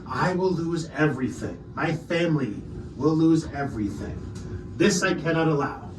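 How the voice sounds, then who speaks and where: A man's voice: a little high, lightly acted. A man voicing an indignant, pompous Ferengi alien aide who pleads and argues the law in a tabletop game. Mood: pleading. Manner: pompous, legalistic, halting protests. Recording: roomy, distant mics with clear noise.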